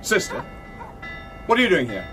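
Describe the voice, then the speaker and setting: Stern, scolding man, very animated delivery; radio play. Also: high voice, moderate pace, music in the background. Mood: exasperated. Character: exasperated man rebuffing a nun. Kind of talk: radio drama acting.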